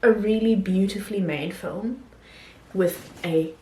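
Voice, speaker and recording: A woman talking casually about films she has watched, pausing often with ums and restarting her sentences. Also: mid-range voice, a little mellow, echoey room, a little hiss or hum. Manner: hesitant, many ums and restarts. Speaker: film vlogger. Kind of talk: casual film review talk.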